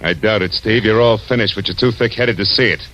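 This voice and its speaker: An actor playing a tough, weary, wry American private detective in 1940s radio style, talking in clipped slang. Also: male voice, on the low side, fairly quick, a bit bright, slight background noise, close to an everyday voice. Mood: commanding. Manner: hard-boiled, clipped slang. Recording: dull old radio recording with music.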